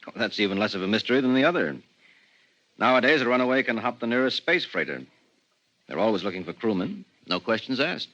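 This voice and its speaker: An actor playing a tense, suspicious husband in a 1950s radio drama, speaking in a clipped, commanding way. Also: male voice, medium pitch, lively intonation, quick pace, fairly bright tone, lightly acted. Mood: matter-of-fact. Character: tense, suspicious husband with hard, menacing authority. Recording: dull, muffled 1950s radio recording.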